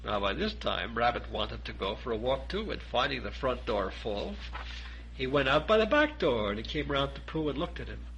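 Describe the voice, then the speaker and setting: A man's voice: medium pitch, very animated, normal speed, a bit bright, muffled recording, slight background noise. A man narrating a children's story expressively as an audiobook reader.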